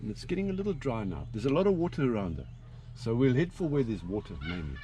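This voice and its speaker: A man's voice: medium pitch, very animated, fast, warm tone, slight background noise. A man from South Africa with a South African accent, giving a chatty, joking guided commentary from an open safari vehicle outdoors.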